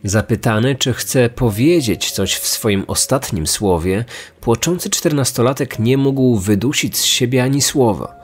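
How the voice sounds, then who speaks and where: A man delivering serious, measured scripted true-crime narration as a podcast host. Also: medium pitch. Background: music.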